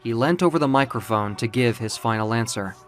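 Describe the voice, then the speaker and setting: Male narrator for dark fiction, medium pitch, dramatic and suspenseful, with music underneath. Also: a little fast. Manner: theatrical, suspenseful. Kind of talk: dramatic scripted story narration.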